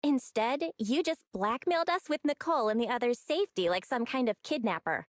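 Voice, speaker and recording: Game heroine: woman playing plucky, clever young sister, high voice, close dry sound, measured confidence. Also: lively intonation, no room sound, close to an everyday voice. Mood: probing, calm. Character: young sister, shrewd, composed, plucky underground operator. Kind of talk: English video game voice acting.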